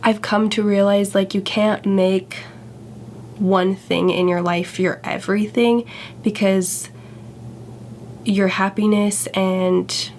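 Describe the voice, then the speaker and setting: A woman's voice: mid-range voice, fairly even intonation, takes it slowly, darkish tone, a little hiss or hum. Congested young woman chatting casually. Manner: chatty, candid, self-deprecating. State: congested from a cold. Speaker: YouTuber. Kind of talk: casual vlog chat.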